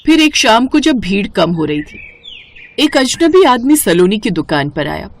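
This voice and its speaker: A woman's voice: mid-range voice, very animated, a little slow. A woman narrator reading a scripted story in a storytelling style.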